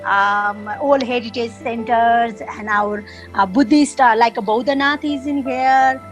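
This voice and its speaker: A woman from Nepal with a Nepali accent, a guest in a casual interview, speaking haltingly with many fillers as she searches for words. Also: fairly high pitch, steady tone, faint music behind, rough recording.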